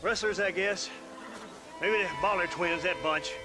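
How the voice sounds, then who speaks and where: A man playing a gruff, commanding rancher father with an American accent in a western video game. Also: sits high, unhurried. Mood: grim, commanding. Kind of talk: video game cutscene acting. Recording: music behind the voice.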